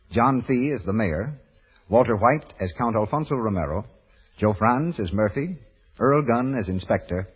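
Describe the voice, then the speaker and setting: A man's voice: medium pitch, breathy edge. An American man announcing formally from a script in 1930s network radio style. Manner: formal, measured presentation. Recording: muffled 1930s radio broadcast with long reverb.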